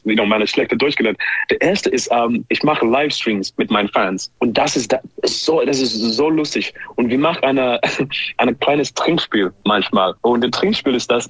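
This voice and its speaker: A guest singer with an English-speaking accent, native English, talking casually in halting German with many fillers, slipping into English words and laughing often. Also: male voice, mid-range voice, husky, dry recording, rough recording. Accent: English-speaking, learner's German. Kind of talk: casual radio interview.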